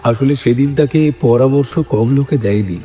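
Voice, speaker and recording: A man reading scripted literary narration as a storyteller, in a measured manner. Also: mid-range voice, dark tone. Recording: music bed behind the voice.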